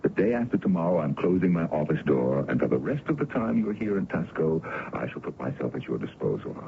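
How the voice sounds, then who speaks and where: A confident colonel in an old radio play. His voice is very low and creaky, with measured, persuasive phrasing that swings expressively. He sounds dismissive of superstition. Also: very animated, exaggerated voice. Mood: reassuring. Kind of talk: old-time radio drama acting. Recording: dull, lightly noisy old radio recording.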